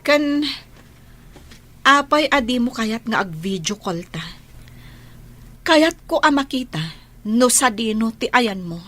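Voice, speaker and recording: A woman's voice: on the high side, lively intonation, slow pace, long pauses, fairly bright tone, heavily acted. A woman with an Ilocano accent playing a loving, trusting overseas worker and older sister in a radio drama. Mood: hurt, pleading. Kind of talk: Filipino radio drama acting.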